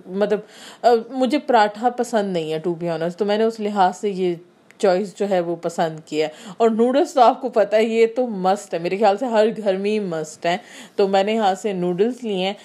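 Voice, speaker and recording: Mellow-voiced Pakistani woman vlogger. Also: medium pitch. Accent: Karachi Urdu. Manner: chatty, explains each item, frequent fillers. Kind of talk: casual grocery haul voice-over.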